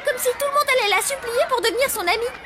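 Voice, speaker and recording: A woman voicing an excitable, cheeky zombie schoolchild in a French cartoon, with emphatic exclamations. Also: very high voice, fast talker. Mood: scornful. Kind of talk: French cartoon dub acting. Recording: loud music and crowd behind.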